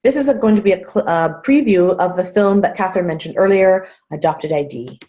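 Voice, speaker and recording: A woman in her thirties from Canada giving an earnest, measured webinar talk as a guest speaker over a video call. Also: on the low side, dark tone. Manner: earnest, measured, repeats phrases for emphasis. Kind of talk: webinar presentation. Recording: muffled video call audio.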